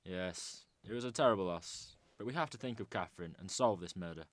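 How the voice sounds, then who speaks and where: A man's voice: deep voice, a little fast, dry recording, lightly acted. A man playing a grave, courteous police chief in an amateur radio drama, speaking formally.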